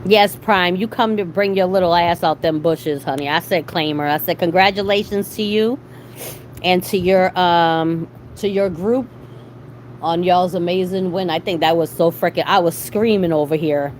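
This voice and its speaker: Low-voiced middle-aged woman, salty and chatty. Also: normal speed. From Boston, USA. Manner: chatty, salty, slangy, swearing. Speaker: livestream host. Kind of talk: casual live chat with viewers. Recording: clearly noisy background.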